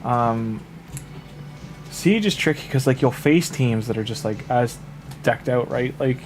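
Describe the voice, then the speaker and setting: A man's voice: mid-range voice, a little slow, soft background music. A man streaming a game late at night, rambling casually to his chat with lots of fillers, sniffly from the tail end of a cold. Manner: rambling, filler-heavy, relaxed advice to chat. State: sniffly, getting over a cold. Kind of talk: casual livestream chat with viewers.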